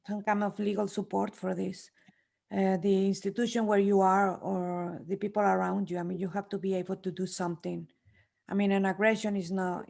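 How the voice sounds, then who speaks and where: A woman moderating a round table, speaking spontaneously and haltingly with repeated words. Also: mid-range voice, creaky, a little mellow. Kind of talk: moderating a panel, spontaneous speech.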